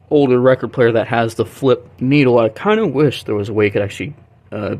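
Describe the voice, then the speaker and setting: A young American man chatting casually and thinking aloud as he demonstrates something, in a rambling way. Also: medium pitch. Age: young adult. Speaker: vlogger, record collector. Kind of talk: casual chat while demonstrating. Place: small bedroom, indoors.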